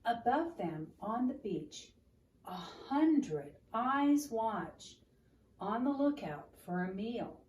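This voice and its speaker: A woman reading a picture book aloud to a child and explaining it in a gentle, teacherly way. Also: fairly high pitch, sing-song, takes it slowly, muffled recording. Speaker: children's storytime reader. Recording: roomy, distant mic with room noise.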